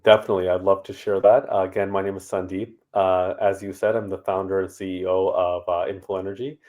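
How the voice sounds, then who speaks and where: Casting: man, very low voice, easygoing interview guest. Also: a little fast. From Canada. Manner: relaxed and conversational, many fillers. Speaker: startup founder guest. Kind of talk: casual interview answers.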